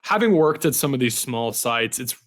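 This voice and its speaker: A man's voice: mid-range voice, expressive, normal speed, a little hiss or hum. A man hosting a podcast, interviewing a guest in a casual, conversational way.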